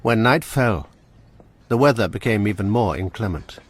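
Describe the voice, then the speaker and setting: Husky guy narrating a period drama, measured storytelling. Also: fairly low pitch, lively intonation.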